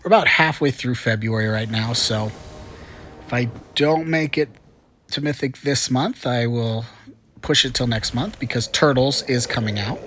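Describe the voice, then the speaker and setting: A man's voice: medium pitch, moderate pace, a bit bright, a little hiss or hum. Chatty man with a slight cold. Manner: chatty and upbeat, with frequent fillers. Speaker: gaming channel host. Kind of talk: casual gameplay commentary.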